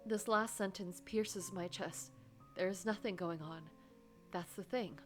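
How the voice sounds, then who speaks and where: A woman voicing an anxious, guilt-ridden office worker who narrates a story game in a dramatic, wounded, halting way. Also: mid-range voice, soft background music, lightly acted. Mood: tearful confusion.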